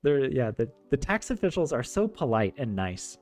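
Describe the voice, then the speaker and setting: A man's voice: mid-range voice, sing-song, moderate pace, darkish tone. An American young man chatting casually on a live stream about life abroad, joking and laughing often between fillers and restarts. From United States. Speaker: streamer teaching Finnish. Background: faint video game music.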